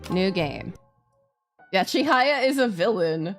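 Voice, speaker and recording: A woman's voice: mid-range voice, lively intonation, slow. A woman streaming a game with casual, exclamatory live commentary, often repeating her phrases. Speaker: streamer playing a visual novel. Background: game music.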